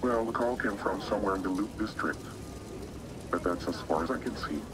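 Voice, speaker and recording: An actor playing a cautious hacker contact on a phone call in a video game, measured and wry. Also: male voice, on the low side, noisy background, lightly acted. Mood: cooperative. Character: cautious male underground hacker contact aiding a client.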